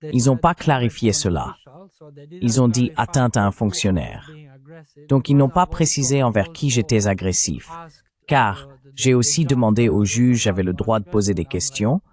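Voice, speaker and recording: A man being interviewed, answering in a calm, measured way, voiced over in French. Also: medium pitch. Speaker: interviewee, protester.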